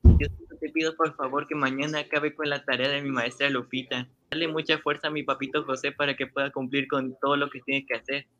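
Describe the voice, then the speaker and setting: A man's voice: medium pitch, level tone, brisk, fairly bright tone, lo-fi sound, natural voice. A man playing an earnest, obedient young schoolboy in a radio drama, with a pleading, affectionate and polite manner. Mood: hushed, prayerful. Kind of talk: amateur Spanish-language radio drama acting.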